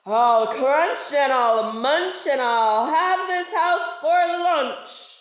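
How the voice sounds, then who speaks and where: Woman voicing a slow, menacing big bad shark. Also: sits high, lively intonation, pitched above the performer's own voice, heavily acted. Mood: menacing roar. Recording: echoey room.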